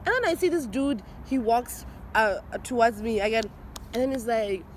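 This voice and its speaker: A woman's voice: expressive, normal speed. A young woman from Botswana with a Botswana accent, playfully telling how she met her boyfriend and teasing him as she acts out what each of them said. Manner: playful, teasing, acts out quotes with big pitch swings. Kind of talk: casual storytelling chat. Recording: noisy outdoor ambience.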